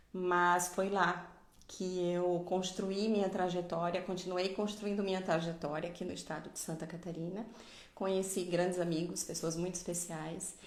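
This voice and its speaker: A woman's voice: on the low side, roomy sound. A middle-aged woman from Pernambuco, Brazil, giving a live online dentistry lecture in a didactic way, often adding tag questions. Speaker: dentistry professor.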